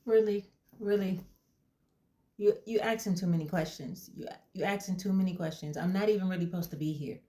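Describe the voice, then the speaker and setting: A woman voicing a brash, defensive young mother in therapy who talks loud and fast and pushes back on every question. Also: on the low side, natural voice. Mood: cocky. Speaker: therapy client. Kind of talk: comedy sketch acting.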